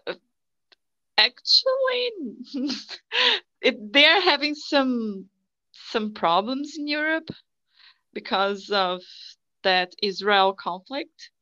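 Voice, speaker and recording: Lady guest, bright voice, kinda stop-start, dull muffled call audio. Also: on the high side, sing-song, no room sound. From Brazil. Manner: halting, restarts often, laughs between phrases. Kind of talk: casual podcast chat.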